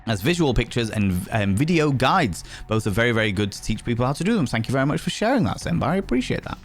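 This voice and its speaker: A man's voice: medium pitch, very animated, quick pace, soft background music. A man with a Yorkshire accent, chatting casually with his live-stream audience, cheeky and teasing, swearing freely. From Yorkshire, England.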